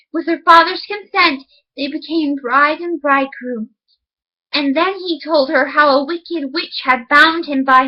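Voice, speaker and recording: A woman with a notably high voice reads a fairy tale aloud. She narrates as a storyteller, and the recording is slightly muffled and lo-fi.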